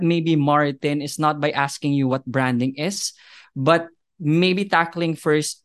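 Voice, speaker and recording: A man hosting a podcast interview, upbeat and enthusiastic, speaking in long, structured sentences that sound prepared. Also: on the high side. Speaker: podcast host.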